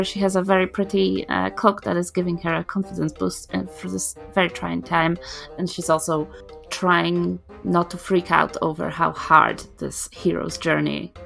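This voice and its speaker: A woman with plenty of creak in her voice describes her game character in the third person. She improvises haltingly, thinking aloud as she goes, with faint music underneath. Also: on the low side, fairly bright tone. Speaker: tabletop podcast player.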